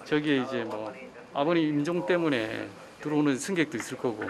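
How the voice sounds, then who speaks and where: A man's voice: fairly high pitch, lively intonation, a little fast, creaky, crowd noise. A man speaking informally as a senior air traffic controller explaining the job.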